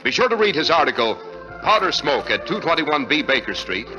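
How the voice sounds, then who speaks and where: A man's voice: a little high, big pitch swings, fairly quick. A man announcing in polished, projecting 1940s radio style. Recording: dull old radio broadcast, music behind. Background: loud music.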